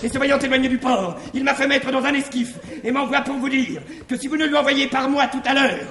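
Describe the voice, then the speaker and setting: An actor playing a crafty, wily manservant in a classical French stage farce, with theatrical, halting mock distress. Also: male voice, extremely high pitch, a bit bright. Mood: feigned urgency. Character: crafty, wily manservant feigning breathless alarm. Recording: echoey 1960s theatre recording, faint hiss.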